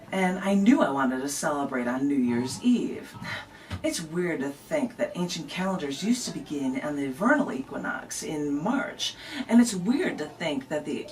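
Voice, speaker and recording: A woman's voice: sits low, distant mic, slight background noise. A woman, telling stories like a live spoken-word poet in an emphatic, conversational way. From American Midwest. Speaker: poet, interview guest. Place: radio station studio. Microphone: camera microphone at some distance.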